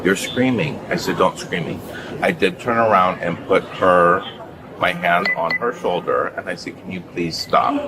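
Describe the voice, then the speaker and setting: A man's voice: low voice, moderate pace, background noise. A man giving his account to police in insistent, clipped sentences, caught on body camera. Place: airport, in front of officers.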